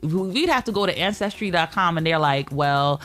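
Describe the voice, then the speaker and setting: Female co-host, slightly low register, emphatic and opinionated casual debate, light music underneath. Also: animated, normal speed, a bit bright. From Guyana or of Guyanese family. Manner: emphatic, opinionated, repeats points firmly. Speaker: talk show co-host. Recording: music playing behind the talk.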